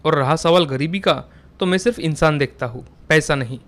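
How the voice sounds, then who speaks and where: A man's voice: on the high side, brisk, fairly bright tone, natural voice. Man as an earnest, principled young suitor. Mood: firm. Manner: earnest, firm. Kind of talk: Hindi moral story dialogue.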